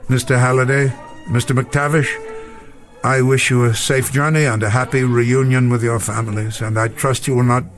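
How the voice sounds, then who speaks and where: An actor playing an elderly, wordy, old-fashioned professor with formal, musing speech in a vintage television drama. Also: male voice, mid-range voice, flat delivery, normal speed, soft background music, natural voice. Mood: kindly, shaken. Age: older. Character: elderly male professor, genial, rambling, proud, wounded.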